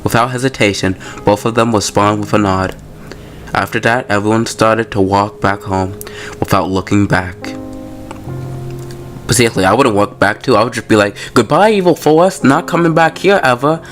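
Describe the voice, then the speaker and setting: A man's voice: lowish voice, normal speed, faint music behind. A man hosting a gaming video, casually commenting and reading story text aloud in an informal, slangy way.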